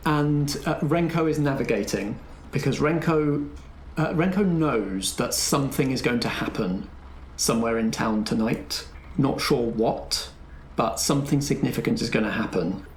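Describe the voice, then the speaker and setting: A man's voice: mid-range voice, expressive, normal speed. A man acting as game master, narrating scenes and prompting players in a tabletop roleplay. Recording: echoey room, faint background noise.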